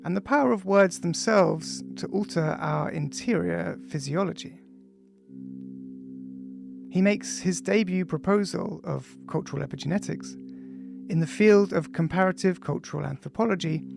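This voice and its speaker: A man, the author, introducing and reading his own audiobook, speaking casually with frequent ums and pauses. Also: high voice, vocal fry. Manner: casual, hesitant, many ums between phrases. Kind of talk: audiobook introduction, loose spoken then read text. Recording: music playing faintly behind.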